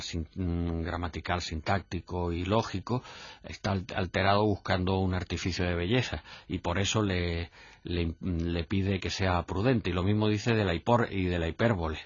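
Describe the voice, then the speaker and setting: Deep husky man lecturing. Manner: hesitant, halting, self-repeating, didactic. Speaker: literature professor. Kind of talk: unscripted academic radio lecture. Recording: muffled, echoey lo-fi radio recording.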